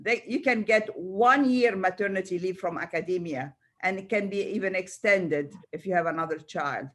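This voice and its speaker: A woman's voice: medium pitch, normal speed, fairly bright tone. An older woman from Egypt speaking spontaneously as a round table panellist, often repeating words and restarting. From Cairo, Egypt. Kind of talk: panel discussion, spontaneous speech.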